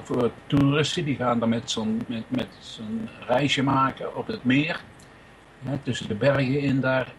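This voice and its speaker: A man's voice: mid-range voice, a bit bright, slight background noise, poor audio. Male music presenter, informal and unscripted, chatty, wandering, stop-start delivery. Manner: rambling, halting, chatty.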